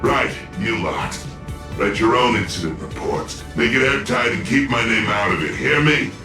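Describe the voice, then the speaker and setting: A man's voice: fairly low pitch, animated, moderate pace, roomy sound, recorded from a distance, over music. A man voicing a flamboyant, self-mocking corporate security officer in a video game, with a swaggering, glib, theatrical delivery. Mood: brisk, commanding.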